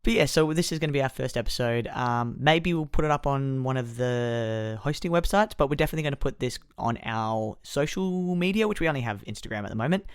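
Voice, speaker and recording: A man's voice: mid-range voice, lively intonation, brisk, hardly any pauses, smooth, dry recording, clean studio sound. A man co-hosting a podcast, easygoing and chatty with frequent ums. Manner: easygoing, many ums and restarts. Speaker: podcast co-host. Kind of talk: casual chat.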